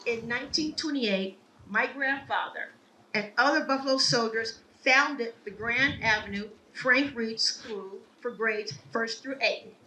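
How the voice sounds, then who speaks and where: A woman with a bright voice that sits a little high speaks at a ceremony, earnest and measured as she tells her family's story. She sounds a little distant from the mic, with faint ambience behind her. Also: slight background noise. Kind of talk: prepared speech at a ceremony. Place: outdoor ceremony.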